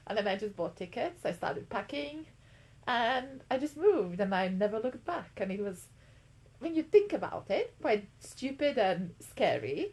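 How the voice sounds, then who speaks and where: A woman's voice: mid-range voice, a little mellow. A woman in her twenties from Latvia, co-hosting a podcast in casual chat, talking with many ums and likes. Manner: chatty, lots of ums and likes. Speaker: podcast co-host.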